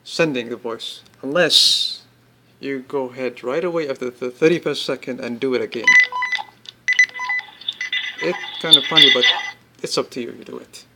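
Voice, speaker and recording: A man presenting an informal product demonstration, explaining step by step in halting phrases and repeating himself. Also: medium pitch, very noisy. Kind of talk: informal spoken product demo.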